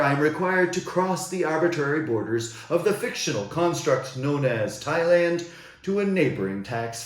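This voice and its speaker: A man's voice: mid-range voice, roomy sound. A man from the United States, delivering an indignant, rhetorical scripted monologue. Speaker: commentator.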